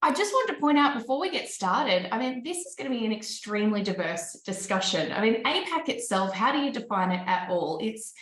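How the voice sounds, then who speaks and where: A woman's voice: a little high, big pitch swings, brisk, hardly any pauses, a bit bright, roomy sound. A woman with an Australian accent moderating an online panel, chatty and upbeat with many ums. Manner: chatty, upbeat, frequent ums and light laughs.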